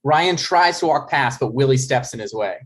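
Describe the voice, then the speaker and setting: A man's voice: mid-range voice, expressive, moderate pace, fairly bright tone. A man reading out stage directions as scripted narration for a table read.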